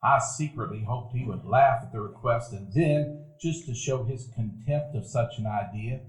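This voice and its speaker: A man's voice: medium pitch, normal speed. A middle-aged man narrating a memory with wry, reflective storytelling in a live stage radio play. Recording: echoey live theater room.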